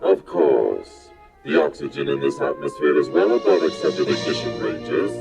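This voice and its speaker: A man playing a proud, zealous alien monster commander in a science fiction audio drama, deep and gravelly. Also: expressive, moderate pace, music in the background, exaggerated voice. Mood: scornful, eager.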